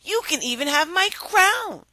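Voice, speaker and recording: A woman's voice: very high voice, big pitch swings, a little slow, bright, forceful tone, no echo, clean studio sound, pitched above the performer's own voice, exaggerated voice. A woman voicing a young, spoiled, whiny princess in a children's storybook, with a pleading, dramatic manner. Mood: pleading, eager. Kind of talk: children's storybook read aloud.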